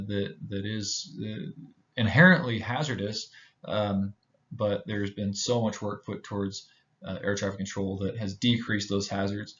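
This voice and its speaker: An American man from Houston giving an informal slide presentation, speaking hesitantly with many filled pauses. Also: on the low side, highs cut off. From Houston, United States. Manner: halting, hesitant delivery with frequent filled pauses. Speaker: presenter, pilot.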